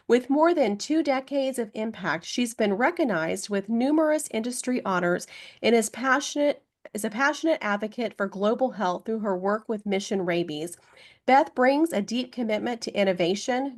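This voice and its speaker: A woman hosting a podcast, reading a polished introduction and asking her guest questions. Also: fairly high pitch. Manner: polished, upbeat delivery. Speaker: podcast host. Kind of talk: scripted intro read, then interview questions.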